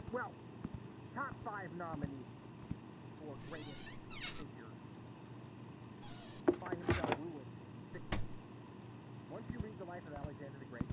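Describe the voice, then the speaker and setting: A man talking about history in a halting, emphatic, opinionated way, as in a documentary. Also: fairly high pitch, low-quality recording.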